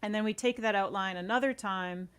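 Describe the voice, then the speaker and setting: A woman's voice: medium pitch, fairly quick, a bit bright, clear, resonant tone. A woman talking casually as an interview guest, chatty and informal. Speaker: podcast guest, audio series co-creator.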